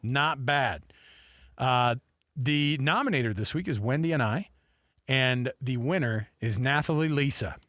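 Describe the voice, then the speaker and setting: A man's voice: mid-range voice, animated, unhurried, a bit bright, dry recording. A man hosting a sports radio show in a casual, folksy, chatty way, close on a studio microphone.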